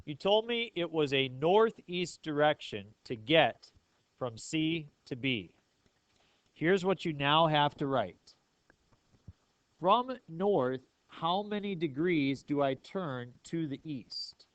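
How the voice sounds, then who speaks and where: A man's voice: a little high, animated, slow pace, dry recording. Dry-sounding male math teacher. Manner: checks understanding with frequent questions. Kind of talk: classroom lesson, explaining step by step.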